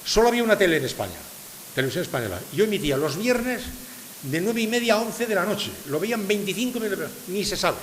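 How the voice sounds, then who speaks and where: A man's voice: high-pitched, very animated, fast. An older man from San Sebastián, Spain, giving a public lecture to a live audience, asking rhetorical questions and stressing his points. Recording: reverberant hall with faint hiss.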